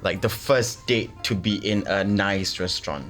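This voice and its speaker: A woman's voice: a bass voice, normal speed, soft background music. A woman co-hosting a casual panel chat, asking her guests curious follow-up questions. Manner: curious, asks follow-ups. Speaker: co-host.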